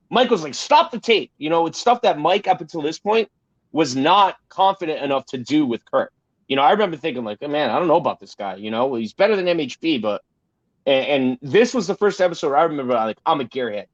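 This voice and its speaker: A man with a fairly high voice chats as a podcast co-host. His delivery is emphatic and rambling, with some profanity. The sound is a little dull. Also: animated, a little fast. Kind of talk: casual podcast chat. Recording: roomy, slightly dull sound.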